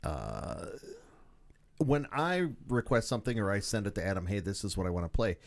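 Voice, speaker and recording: A man's voice: on the low side, very animated, moderate pace, creaky. A man hosting a radio show, chatting casually and rambling with many fillers.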